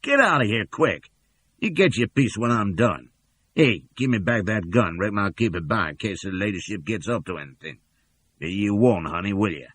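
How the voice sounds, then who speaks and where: A man's voice: lowish voice, expressive, moderate pace, fairly bright tone. Man doing a coarse, bullying captor. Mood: leering. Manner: rough, leering, domineering. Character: coarse, bullying Southern slave catcher man.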